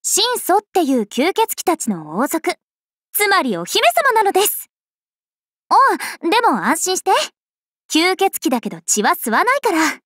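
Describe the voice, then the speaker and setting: Peppy young vampire princess for an anime game, super high n lively, bubbly tease, dry close sound. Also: female voice, measured pace, no echo, exaggerated voice. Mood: cheerful, chatty. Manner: bubbly, teasing, animated. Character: cheerful, playful young-looking vampire princess, eager and energetic. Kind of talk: anime game character voice lines.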